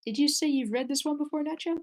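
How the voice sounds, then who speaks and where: A woman's voice: high-pitched, fast talker. A woman hosting an informal group discussion, chatting casually and wordily as she feels her way toward her points. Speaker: host of a screenplay table read discussion. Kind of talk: casual chat.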